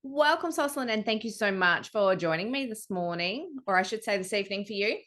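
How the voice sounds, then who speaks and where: Woman, medium pitch, curious interviewer, video call. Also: animated, fairly quick, a bit bright. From Australia. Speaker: podcast host. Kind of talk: interview host.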